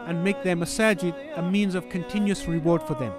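Reverent man narrating over clear music. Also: high-pitched, fairly even intonation. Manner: measured, reverent. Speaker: charity narrator. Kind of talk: scripted narration.

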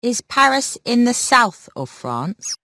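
Lively woman asking textbook questions. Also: on the high side, no echo. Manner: slow, clear questioning. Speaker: recorded model speaker.

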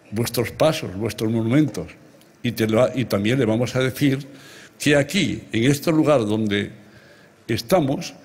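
A man in his seventies from Extremadura with an accent from Spain and a husky voice, giving an emphatic, rhetorical ceremonial speech at a lectern. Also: medium pitch, a little mellow. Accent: Spanish from Spain. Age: 70s. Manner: emphatic, rhetorical, addressing listeners directly. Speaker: award recipient giving a speech. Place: large open-air ancient theatre stage at night, before a big audience. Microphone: lectern microphones. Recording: amplified outdoor speech with crowd sounds.

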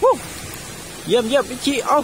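A man hosting a casual vlog on a beach, giving animated, exclamatory play-along commentary. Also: brisk. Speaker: vlog host. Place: outdoors on a sandy seashore. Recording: noisy outdoor recording.

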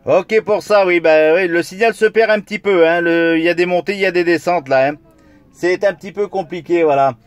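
A man's voice: sits high, a little fast, clean tone. A man making a casual radio contact as a CB operator, filming his own video.

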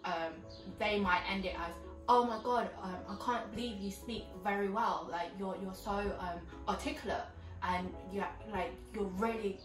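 A woman's voice: medium pitch, moderate pace. A young woman from Vietnam co-hosting a casual chat, talking with lots of fillers like um and like. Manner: conversational, many fillers like "um" and "like". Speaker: co-host. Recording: roomy sound, mic at a distance, faint music.

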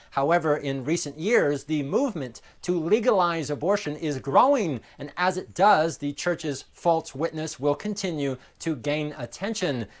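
Casting: man, 30s, slightly high voice, emphatic critical commentator reading to camera. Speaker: commentary vlogger. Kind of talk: scripted commentary. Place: studio green screen.

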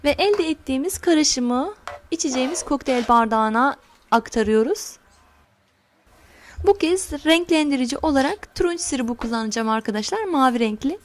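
A high-pitched woman gives a recipe voice-over, talking viewers through each step slowly and carefully. She sounds middle-aged and friendly, calling her audience friends as she explains. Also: slight background noise. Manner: slow, careful instructions. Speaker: home cooking channel host. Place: home kitchen counter.

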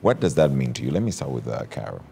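A man hosts a breakfast television talk show from a studio set. His voice sits extremely low with a gravelly fry. He prompts his guests in an easygoing way and laughs readily. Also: quick pace, a little hiss or hum. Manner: laughs often, relaxed prompting. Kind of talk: morning TV interview.

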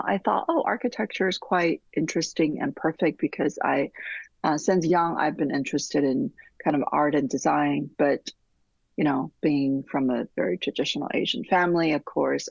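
A middle-aged woman from Taiwan, an architect answering interview questions in a thoughtful way, with many restarts and soft trailing fillers, heard over a video call. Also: low-pitched, creaky, slightly rough, no room sound, dull audio. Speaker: architect guest. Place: home room with bookshelves, remote call.

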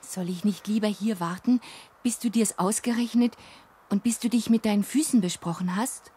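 An actress playing an anxious young princess in a radio play, asking politely and questioningly. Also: female voice, mid-range voice, normal speed, mellow, husky.

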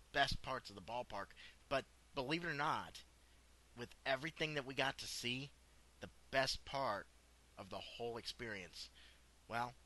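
Guy doing voice-over for his slideshow, bright sharp tone, casual. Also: medium pitch. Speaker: vlog host narrating.

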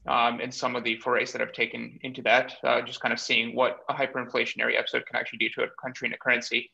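A man in his thirties from Bulgaria, explaining his background in a measured way with many pauses, speaking as a guest over a video call from a small room. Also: mid-range voice, completely flat delivery, gravelly, sharp tone. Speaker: guest, startup cofounder. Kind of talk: remote interview. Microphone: webcam or laptop mic on video call. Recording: dull lo-fi video call audio.

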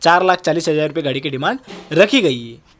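A man from India speeds through a used car yard with a phone camera, his voice riding high. He rattles off repetitive salesman patter. The sound is an outdoor lot under a shed. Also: animated, a little fast, fairly bright tone. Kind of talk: off-camera walkaround commentary.

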